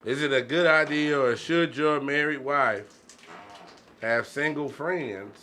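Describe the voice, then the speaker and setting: A man's voice: a little high, takes it slowly, sharp tone, slight background noise. A man hosting a podcast in a casual, slangy conversational way, often checking in with the listener. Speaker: podcast host.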